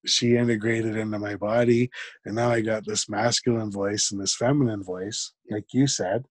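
A man with a fairly deep voice talks as an interview guest. He speaks reflectively and haltingly, often restarting his sentences. His voice is recorded close and dry, with little room sound. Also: steady tone, no echo. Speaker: podcast guest. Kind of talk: conversational interview.

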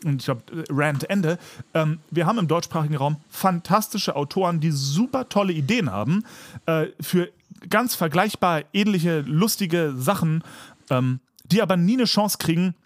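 A man's voice: on the high side, expressive, normal speed. A man in a casual podcast chat, ranting emphatically and with plenty of exaggeration. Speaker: podcast co-host.

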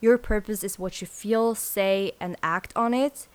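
A woman's voice: medium pitch, measured pace, clear, resonant tone. Young woman doing a solo podcast, earnest, lots of you-knows. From born in South Korea, grew up in Switzerland. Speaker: podcast host.

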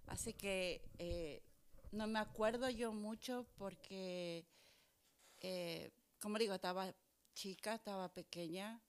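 A woman's voice: mid-range voice, takes it slowly, smooth. A middle-aged woman pastor, talking casually as a guest and mixing some English into her Spanish. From Chile. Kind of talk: casual testimonial talk.

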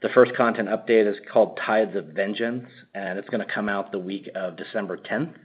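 A man speaks in a deep, rough-edged voice as an executive on an earnings call. He is conversational in his answers. The audio is a little dull. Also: fairly quick. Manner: conversational, frequent "you know". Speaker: company executive.

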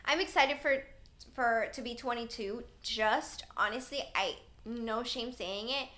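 A woman in her twenties co-hosting a casual chat, playful and teasing with quick asides. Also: a little high, bright, forceful tone. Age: 20s. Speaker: podcast co-host.

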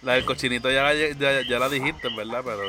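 A man's voice: mid-range voice, fairly even intonation, fast, a loud crowd. A man co-hosting a casual podcast chat, jumping in to steer the talk.

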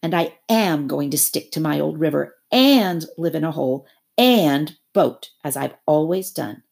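A dogged, sensible water rat answers flatly and firmly, as a woman puts him on for a storybook. The voice sits a little raised, with a raspy, gravelly grain. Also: deep voice, a little slow, exaggerated voice. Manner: dry, dogged, flat refusals.

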